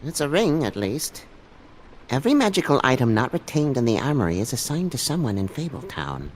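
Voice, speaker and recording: A man's voice: medium pitch, sing-song, brisk. A man voicing a timid, hungover creature who works as an office archivist in a video game, meek and apologetic. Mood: sheepish.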